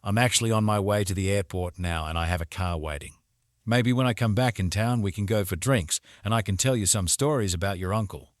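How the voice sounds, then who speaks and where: Very low voice, dry recording, polite veteran visitor, played by a man, measured. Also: no echo, natural voice. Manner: courteous, measured. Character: polite middle-aged male military veteran visitor.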